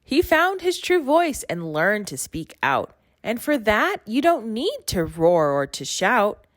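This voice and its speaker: A woman giving an expressive read-aloud narration of a rhyming children's story. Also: medium pitch, slow pace, dry recording, clean studio sound. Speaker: storybook reader.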